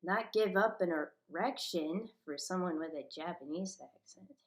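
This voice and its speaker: A woman's voice: lowish voice, normal speed. Rambling, profane woman ranting in an echoey room. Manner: profane, rambling, agitated, jumps between topics. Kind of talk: rambling monologue rant.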